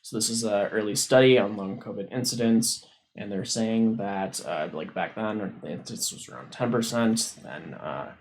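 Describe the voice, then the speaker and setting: A man hosting a science livestream, casually explaining a research paper as he reads, in a halting, informal way. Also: fairly low pitch, vocal fry. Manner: halting, informal, thinking aloud. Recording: noticeable background noise on livestream.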